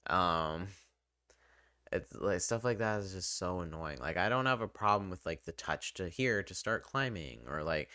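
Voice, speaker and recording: Male game reviewer, deep voice, conversational and wry, very dry recording. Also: lively intonation, normal speed, bright, forceful tone, no room sound.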